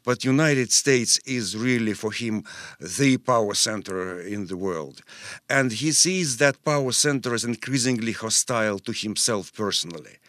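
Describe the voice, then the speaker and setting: A man in his fifties from Russia, speaking, answers interview questions thoughtfully, pausing with fillers, in a studio. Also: medium pitch, expressive, a bit bright, no echo. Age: 50s. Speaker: guest expert.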